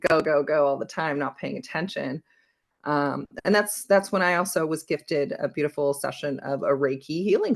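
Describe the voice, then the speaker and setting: A woman in her forties who grew up in Belgium, speaking as a podcast guest. Her voice carries a gravelly, fry-edged texture. She talks reflectively, circling back with ums and restarts as she tells her story. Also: deep voice. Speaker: wellness coach, interview guest. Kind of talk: conversational podcast interview.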